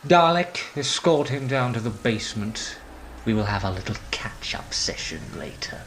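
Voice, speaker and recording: An actor playing a curt, imperious villain in an audio drama, giving clipped orders. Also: male voice, mid-range voice, big pitch swings, moderate pace, a bit bright, a little hiss or hum. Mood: imperious, impatient.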